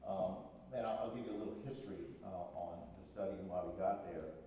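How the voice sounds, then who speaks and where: Deep-voiced man in an echoey hall. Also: fairly even intonation, fairly quick, dark tone, far from the mic, a little hiss or hum. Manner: plainspoken, lays out ground rules firmly. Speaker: school superintendent. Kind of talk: town meeting address. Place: large echoey public hall.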